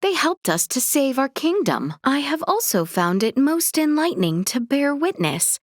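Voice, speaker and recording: A woman's voice: sits high, very animated, normal speed, dry recording, studio quality. A woman voicing a young noble princess in a video game, earnest and dignified, with formal, polite, precisely worded speech. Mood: dignified, sincere.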